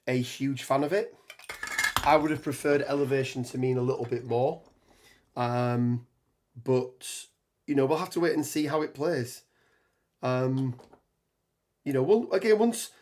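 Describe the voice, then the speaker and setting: A man with a hoarse voice from a cold, chatting on a live stream as he builds. He rambles, restarts sentences and fills pauses with ums. His pitch sits in a middle range. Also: a little mellow. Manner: rambling, many ums and restarts, reads chat aloud. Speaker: tabletop gaming streamer. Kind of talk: casual live stream chat while building terrain.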